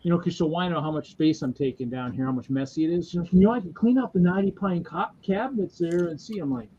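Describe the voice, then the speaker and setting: A man's voice: fairly high pitch, fast talker, mellow, a little hiss or hum. A man in his fifties, chatting casually on a stream panel in a rambling, joking way. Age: 50s. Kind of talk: casual chat. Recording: dull lo-fi audio.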